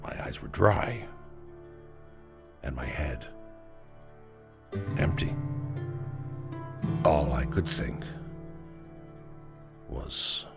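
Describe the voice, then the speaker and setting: An actor playing a stoic, grief-numbed man narrating a memory in an audio drama, gravelly and somber, with halting phrasing. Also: rumbling low, expressive, exaggerated voice. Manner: slow, halting, somber delivery. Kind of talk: dramatic first-person audio drama monologue. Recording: music behind the voice.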